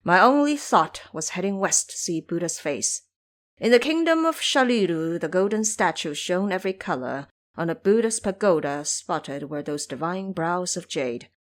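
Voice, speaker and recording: A woman's voice: lowish voice, lively intonation, moderate pace, natural voice. Woman playing an anxious, solemn monk. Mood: solemn, weary. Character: anxious, pious male monk master, solemn and timid. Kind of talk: audio drama character acting.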